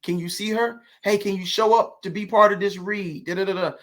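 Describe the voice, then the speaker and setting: A man's voice: sits high, steady tone, brisk. A man speaking as an interview guest, telling stories conversationally with emphatic rhetorical questions and check-ins with the listener. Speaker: podcast guest, actor.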